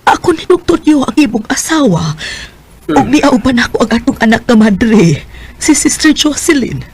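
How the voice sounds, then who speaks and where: An actress playing a devoted, caring middle-aged wife in a radio drama, with a Bisaya Filipino accent. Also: female voice, high voice, sing-song, moderate pace, a little mellow, breathy edge, heavily acted. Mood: worried. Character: devoted, caring wife, anxious for her ailing husband. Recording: noisy radio drama with background ambience.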